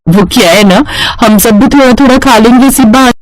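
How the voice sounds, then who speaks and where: Woman acting cartoon sister-in-law, coaxing, sweetly persuasive, high voice, dry sound. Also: normal speed, no room sound, close to an everyday voice, rough recording. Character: coaxing older sister-in-law, a young mother.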